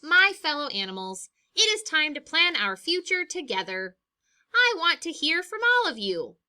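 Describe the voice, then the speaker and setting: A woman's voice: high voice, sing-song, moderate pace, sharp tone, voice pushed up, full character voice. A woman voicing a patient male sloth candidate giving an earnest, reassuring speech in a storybook.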